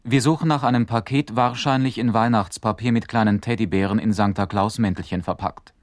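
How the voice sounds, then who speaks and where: An actor playing a sober radio announcer reading an urgent police bulletin in a formal news style. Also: male voice, on the low side, fairly even intonation, a little fast, no echo, clean studio sound, lightly acted.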